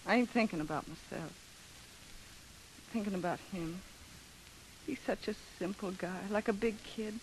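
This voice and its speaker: A woman's voice: mid-range voice, unhurried, pauses often, natural voice. An actress playing a tough yet tender young American woman, plain-spoken and guarded, in a 1930s radio drama. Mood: weary, resolute. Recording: hissy, noisy 1930s radio broadcast.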